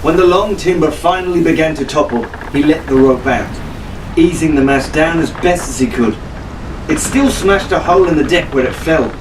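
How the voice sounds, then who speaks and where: A man's voice: on the high side, normal speed, distant mic, background noise. A man reading an adventure story aloud as a storyteller, in dramatic scripted audiobook narration.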